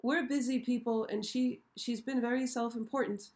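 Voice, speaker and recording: Hoarse woman hosting a live chat. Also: a little high, fairly even intonation, moderate pace, a little mellow. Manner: chatty, frequently checks in with "okay?". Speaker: show host. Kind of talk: casual live-stream chat. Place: show set.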